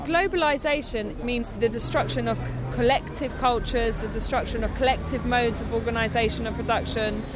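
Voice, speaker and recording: A woman at an outdoor protest speaking in an intense, deliberate way. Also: fairly high pitch, steady tone. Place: outdoors at a city protest camp. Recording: crowd noise behind.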